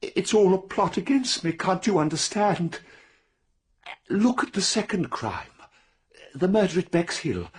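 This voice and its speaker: Casting: a downtrodden, troubled veteran in radio drama, very husky, halting, swooping in pitch. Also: male voice, sing-song, heavily acted. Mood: aggrieved, desperate. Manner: hesitant, halting, stammering repeats. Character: troubled, timid, downtrodden war veteran accused of murder.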